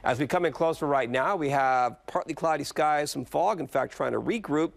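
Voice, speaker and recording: A man's voice: a little high, normal speed, gravelly. A man giving a loose, conversational TV weather forecast, talking on the fly with fillers. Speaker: meteorologist.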